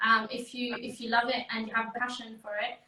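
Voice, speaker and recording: A woman's voice: fairly high pitch, little pitch movement, quick pace, sharp tone. A woman hosting a casual interview, mostly giving brief encouraging reactions. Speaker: host. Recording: roomy and distant from the mic.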